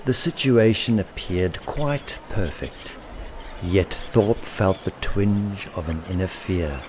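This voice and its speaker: Male storyteller, low and unhurried, dramatic delivery of rhyming children's tale, slight ambient noise behind. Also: a little mellow. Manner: theatrical, deliberate, dramatic emphasis. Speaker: author and storyteller.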